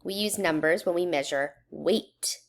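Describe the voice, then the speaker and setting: A woman reading a children's educational book aloud in a measured, instructional way, putting questions to young listeners. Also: fairly low pitch, creaky. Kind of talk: children's read-aloud narration.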